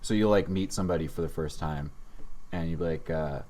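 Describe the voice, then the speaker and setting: A man's voice: deep voice, a little fast, warm tone. A man hosting a comedy podcast, chatting casually in a wry, sarcastic, joking way.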